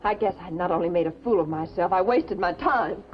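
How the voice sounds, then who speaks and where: A woman's voice: lowish voice, brisk, natural voice. An actress playing an earnest, anxious, bookish young woman in a 1950s television drama. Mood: hurt, subdued. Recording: dull 1950s TV soundtrack, music behind.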